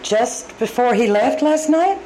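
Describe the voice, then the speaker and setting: Woman playing a polite, high-voiced secretary. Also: lively intonation, measured pace, warm tone, slight background noise, low-quality recording. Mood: polite, puzzled. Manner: courteous, businesslike. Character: polite, efficient female office secretary. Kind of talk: 1940s radio acting.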